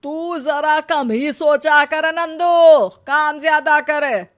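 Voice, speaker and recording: A woman's voice: sits high, drawn-out words. A woman doing a stern, scolding mother-in-law. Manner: scolding and sarcastic, bitter asides. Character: stern, sharp-tongued older mother-in-law. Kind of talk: cartoon story voice acting.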